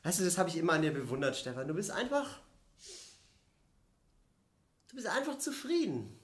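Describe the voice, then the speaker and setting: A man's voice: on the high side, big pitch swings, moderate pace. A man in an improvised audio play playing a downcast, doubting warehouse worker who speaks hesitantly. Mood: wondering. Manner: hesitant, brooding. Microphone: microphone on stand. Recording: roomy stage sound.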